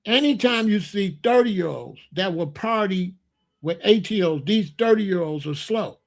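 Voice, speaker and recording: Emphatic older man, high voice. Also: animated, measured pace. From Chicago, USA. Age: 60s. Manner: emphatic, repetitive, blunt street talk. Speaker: commentator. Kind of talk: opinionated monologue.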